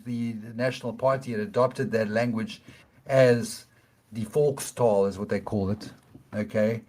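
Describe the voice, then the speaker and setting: A man's voice: medium pitch, a little mellow. A middle-aged man with a Cape South African accent, a guest explaining things in an interview, often restarting and checking in with "okay?". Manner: halting, many restarts, tags points with "okay?".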